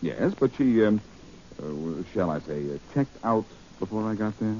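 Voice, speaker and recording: Male actor for smooth, cocky 1940s radio detective; teasing, very animated delivery, muffled noisy recording. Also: on the low side, moderate pace, natural voice. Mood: dry, unhurried. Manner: breezy, sardonic, teasing.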